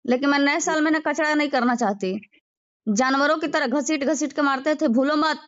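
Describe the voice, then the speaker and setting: High-pitched indignant woman on muffled call recording. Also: normal speed. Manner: indignant, defiant, emphatic. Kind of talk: heated personal argument.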